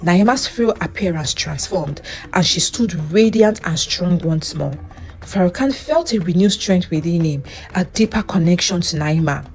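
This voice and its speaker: Female storyteller, dramatic scripted folktale read, lively pitch swings, music underneath. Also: normal speed. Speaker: narrator, storyteller. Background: background music.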